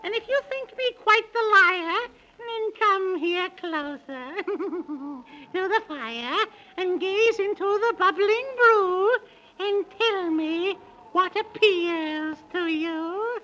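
A woman's voice: slow pace, highs cut off, exaggerated voice. A woman playing a wicked, gloating old witch who cackles and rhymes in a 1930s radio drama, on an old scratchy recording. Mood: gleeful, menacing. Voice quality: shrill, sharp cackle.

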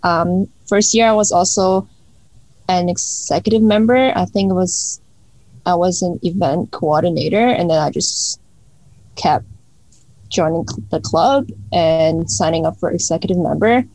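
A woman's voice: fairly low pitch, a little slow, darkish tone, dry recording, rough recording. Young woman from Hong Kong, chatty. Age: young adult. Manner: chatty, with many fillers and restarts. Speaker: student guest. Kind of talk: casual interview answers.

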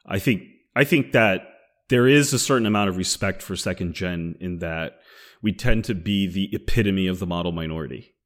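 A man's voice: deep voice, normal speed. A man in a casual group discussion, talking bluntly and emphatically with swearing.

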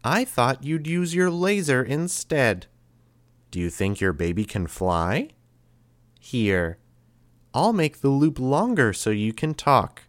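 A man voicing a cruel, calm immortal boy who talks softly and slowly with flat, cheerful menace. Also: mid-range voice, no room sound, pitched above the performer's own voice. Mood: calmly sadistic. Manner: soft, slow, menacing and flatly cheerful. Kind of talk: audiobook character voice.

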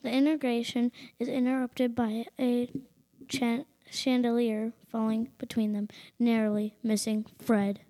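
A woman's voice: on the high side, flat delivery, unhurried, few pauses, dark tone, natural voice, rough recording. A young girl playing the storyteller, reading scripted narration for an amateur radio mystery. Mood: narrating. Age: child or teenager.

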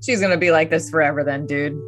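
A woman's voice: deep voice, fairly even intonation, fast talker, faint music behind. A woman giving dry, sardonic comic commentary.

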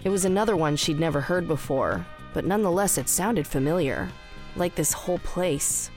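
A woman narrates a scripted piece of fiction for an audio drama. Her voice sits low for a woman, and music plays clearly underneath as she reads. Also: lively intonation, moderate pace, a little mellow, poor audio.

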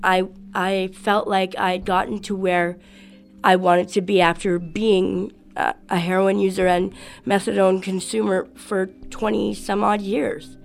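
Woman, mid-pitched voice, outspoken and earnest, loud way of talking, faint music. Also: level tone, measured pace, vocal fry. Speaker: activist interviewee. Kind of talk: casual interview.

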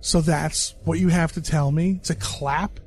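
A man's voice: on the high side, steady tone, measured pace, soft background music. A man voicing a troubled young woman in a horror story, talking in a pleading, defensive way.

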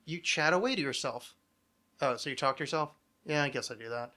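A man's voice: medium pitch, a little fast, sharp tone. A man hosting a podcast with casual comedic banter, rambling and sardonic, swearing freely. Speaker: podcast host.

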